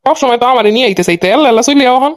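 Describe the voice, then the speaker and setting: A bossy, suspicious young cartoon husband acted by a woman who nags in a Bangladeshi Bengali accent. The words come extremely fast, in a somewhat high register for a woman, recorded very dry. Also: lively intonation, no echo. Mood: suspicious, annoyed. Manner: curt, nagging. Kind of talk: amateur cartoon dialogue.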